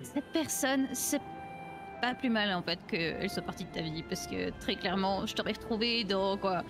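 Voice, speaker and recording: A woman playing a gentle, supportive friend in an improvised game roleplay scene, reflective and encouraging. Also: medium pitch, normal speed, over music, lightly acted.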